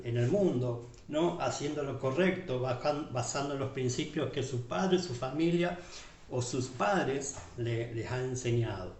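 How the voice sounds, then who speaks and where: A man's voice: medium pitch, a little mellow. A man with an Argentine accent, a pastor preaching a sermon, calling the listeners beloved and checking in with them often as he goes. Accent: Argentine Spanish. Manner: repeats tag questions, list-like phrasing, addresses listeners as beloved. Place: church hall. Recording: echoey church room from far off.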